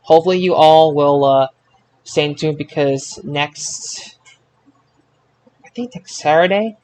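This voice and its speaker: Male podcast host, fairly high-pitched, casual sports-fan chat. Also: unhurried, a little mellow, a little hiss or hum.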